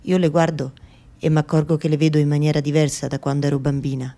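A woman acting a fearful yet defiant Afghan woman in a radio theatre monologue, speaking in deliberate, repetitive short phrases. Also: low-pitched, level tone, warm tone. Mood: weary, watchful. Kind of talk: dramatic first-person monologue, radio theatre.

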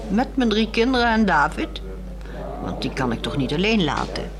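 A woman acting a weary, resolute married woman in a 1960s radio drama, speaking in a measured, articulate and wry way. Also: mid-range voice, big pitch swings, crowd noise, lightly acted. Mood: resolute, sad.

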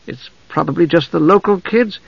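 A man's voice: fairly high pitch, normal speed, gravelly, slightly rough, no echo, lo-fi sound, voice pushed up. A man voicing an anxious, bewildered young wizard in a fantasy audiobook, hesitant and protesting. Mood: alarmed disbelief.

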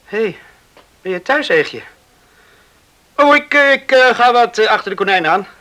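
A man's voice: sits high, expressive, normal speed, sharp tone, dry recording. An actor playing a restless young man in a Dutch radio play, stammering a little when challenged. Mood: evasive. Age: young adult. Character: restless young man craving freedom, talks to his dog.

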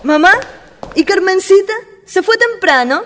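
A woman's voice: extremely high pitch, animated, measured pace, a little hiss or hum. A woman playing an emotional, pleading young woman in love in a Chilean radio drama. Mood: concerned. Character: lovelorn young women, tearful sweetheart and her concerned friend.

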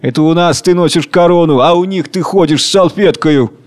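A man's voice: a little high, a little fast, pitched above the performer's own voice, lightly acted. A man acting an embittered, defiant old worker with a colorless voice. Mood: accusing.

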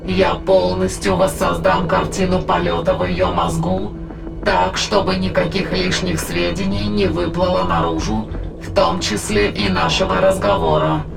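A man playing a cold, calculating alien entity in an audio drama, speaking in a measured, clinical way over music. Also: sits high, gravelly, full character voice. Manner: measured, clinical, matter-of-fact. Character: alien immaterial entity in a dead woman's body, cold, calculating.

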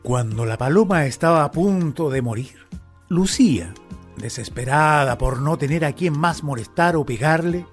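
A man giving dramatic storybook narration for a radio play. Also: fairly high pitch. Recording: faint music behind narration.

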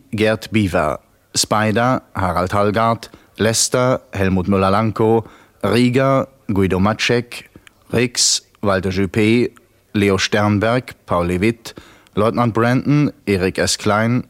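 Slow, formal male radio announcer. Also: on the low side. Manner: formal, measured.

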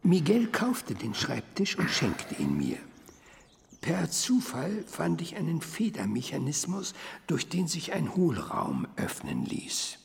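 An older actor playing a sly, patient elderly doctor in a radio crime drama, with a creaky voice and an unhurried, teasing way of telling a story. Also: male voice, a little high, huge pitch swings, exaggerated voice. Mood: patient, savouring. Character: elderly male doctor, sly, patient, savouring his tale. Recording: long hollow hall reverb.

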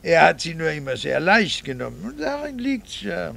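An actor playing a middle-aged doctor and husband in a radio drama, a gravelly voice and a dry, wry, quietly resentful manner. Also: male voice, a little high, big pitch swings, moderate pace, full character voice. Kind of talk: 1960s radio drama acting.

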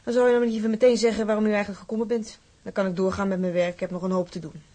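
An actress playing a blunt, feisty working-class seaman's wife in a radio drama, with a husky, sharp-tongued delivery. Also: mid-range voice, little pitch movement, very fast, close to an everyday voice. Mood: defiant.

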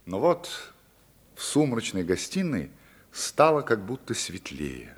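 A man narrating a radio play as a measured, ironic storyteller with dramatic pauses. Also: fairly low pitch, wildly swooping pitch, slightly rough, exaggerated voice. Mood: hushed, admiring. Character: male narrator, measured, wry storyteller of high society. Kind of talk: theatrical scripted narration.

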